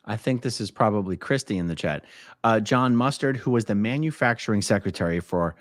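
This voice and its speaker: A man's voice: on the low side, expressive, brisk, no echo, clean studio sound. A man hosting a casual live-stream interview, easygoing, reading chat comments aloud. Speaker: host.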